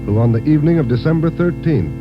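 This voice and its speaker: A man's voice: fairly high pitch, expressive, fast talker, dull audio. A man reading scripted announcements in 1940s radio style as a network announcer. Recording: band-limited old radio recording with music.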